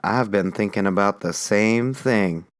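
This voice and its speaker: A drowsy rebel comrade, mumbling low and quiet as if just woken, put on by a man reading an audiobook. The voice drops well below the reader's usual tone. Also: a little slow, no echo, natural voice. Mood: sleepy. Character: sleepy male rebel comrade.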